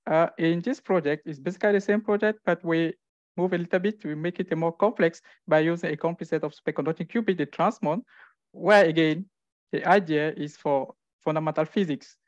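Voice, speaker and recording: Young male researcher from Cameroon, fairly high voice, halting informal self-introduction, dull lo-fi call audio. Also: no room sound. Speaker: physics research fellow introducing themself.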